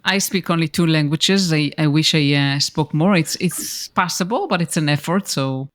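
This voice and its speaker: A woman's voice: sits low, moderate pace. A woman podcast host, a native Hebrew speaker with an Israeli accent, chatting casually with hesitant, searching phrasing. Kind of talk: casual interview chat.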